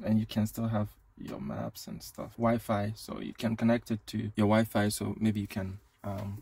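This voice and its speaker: A man's voice: on the low side, a little mellow. A man giving a casual hands-on product demo as a tech reviewer, speaking inside a car.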